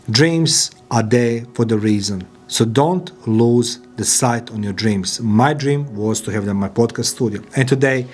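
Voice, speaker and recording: A man's voice: mid-range voice, darkish tone. A man from Croatia, giving an emphatic motivational talk in as a podcaster and business owner. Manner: emphatic, repetitive, non-native phrasing. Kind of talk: motivational monologue.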